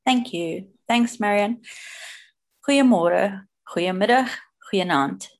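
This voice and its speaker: A South African woman sharing her personal story in a plain, steady way over a video call. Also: medium pitch, takes it slowly.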